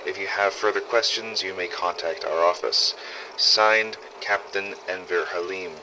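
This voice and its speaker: A man playing a stern police captain, reading a formal letter in a measured, bureaucratic way. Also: deep voice, fairly even intonation, bright, forceful tone, full character voice. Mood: official, firm. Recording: clearly noisy recording.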